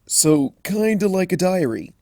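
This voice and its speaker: Guy doing a lazy teasing little dragon kid, drawly, kinda high. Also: lively intonation, measured pace, mellow, no echo, studio quality. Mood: casual. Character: laid-back young male baby dragon helper.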